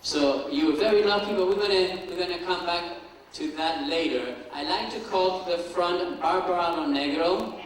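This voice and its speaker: A man moderating a school assembly, slow and deliberate as he calls on people to ask questions. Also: high voice. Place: school auditorium. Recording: echoey hall from far off, noisy.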